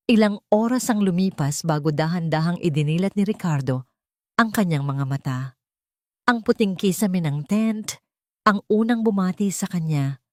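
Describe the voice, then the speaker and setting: A woman tells a dramatic story in a low voice. Her reading is solemn and emotive, clearly scripted. The sound is very dry, with almost no room around it. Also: dark tone, no room sound. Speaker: story narrator.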